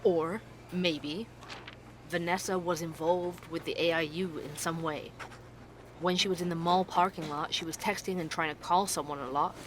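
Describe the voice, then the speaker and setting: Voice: mid-range voice, steady tone, moderate pace, a bit bright, lightly acted. An actor as an inquisitive podcast host, noisy background. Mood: musing, curious. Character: Sebastian, inquisitive young podcast host investigating mysteries. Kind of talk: audio drama dialogue and narration.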